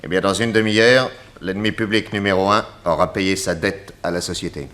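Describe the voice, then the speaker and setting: An actor playing a suave, confident detective with a wry, teasing manner in a 1960s French radio drama. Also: male voice, low-pitched, fairly even intonation, a little fast, close to an everyday voice. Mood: assured. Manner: wry, assured.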